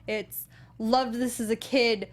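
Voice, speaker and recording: Young female co-host, slightly high voice, easygoing and agreeable, backs up her partner. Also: fairly even intonation. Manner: chatty and agreeable, quick to back up her co-host. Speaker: podcast co-host. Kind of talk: casual movie review chat.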